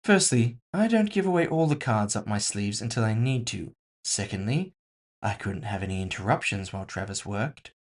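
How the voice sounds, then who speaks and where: A man's voice: on the low side, lively intonation, normal speed. A man voicing a composed, villainous woman executive in audio fiction, casually polite, mocking and condescending. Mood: smug, controlled. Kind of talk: audio fiction character dialogue.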